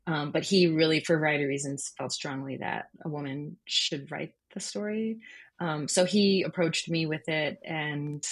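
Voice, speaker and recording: A woman's voice: low voice, slightly rough. A woman answering interview questions conversationally as a guest journalist.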